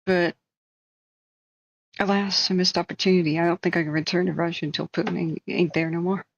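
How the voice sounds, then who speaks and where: Casting note: chatty woman streamer, rambling and self-deprecating, lowish voice, dull close lo-fi sound. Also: steady tone, fairly quick, gravelly, a little hiss or hum. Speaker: live-stream host. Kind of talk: casual live-stream chat.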